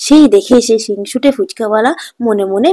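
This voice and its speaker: A woman's voice: a little high, a little fast, dark tone. A woman reading scripted narration for a children's story as the storyteller.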